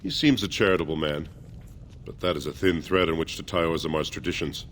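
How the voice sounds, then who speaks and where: Low, solemn older dwarf archivist. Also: male voice, steady tone, fairly bright tone, a little hiss or hum. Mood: grave, reluctant. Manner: grave, formal, measured. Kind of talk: video game character acting.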